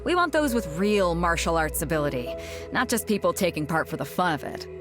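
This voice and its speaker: A woman's voice: on the low side, expressive, fairly quick, over music, natural voice. An actress voicing a hearty, confident female ship captain in a video game, with breezy, teasing delivery. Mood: confident, explaining. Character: bold, hearty female ship captain, swaggering and good-humoured. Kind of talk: video game story dialogue.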